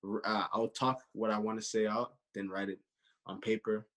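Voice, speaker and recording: A man's voice: fairly low pitch, little pitch movement, moderate pace, fairly bright tone. A man in his twenties from Canada, a podcast guest chatting casually, often repeating his phrases. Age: 20s. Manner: repeats phrases, peppers talk with "you know". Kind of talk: casual interview chat.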